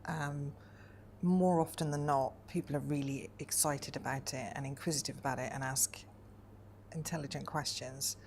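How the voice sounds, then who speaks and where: A woman in her forties from Wales, speaking thoughtfully in a personal interview, often pausing with hesitant ums. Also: extremely low pitch, steady tone, darkish tone, a little hiss or hum. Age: 40s.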